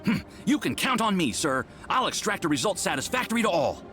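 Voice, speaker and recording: Eager, lively young research assistant. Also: male voice, sits high, fairly quick, music in the background. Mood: earnest. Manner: earnest, deferential, eager to please. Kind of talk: video game character dialogue.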